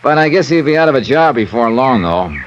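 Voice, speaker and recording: An actor playing a shrewd, breezy hard-boiled American investigator in an old radio detective drama. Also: male voice, medium pitch, big pitch swings, a little fast, slight background noise, close to an everyday voice. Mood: feigned casualness. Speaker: lead actor and narrator. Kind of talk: 1950s-style radio detective narration and acting. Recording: dull old radio recording with music.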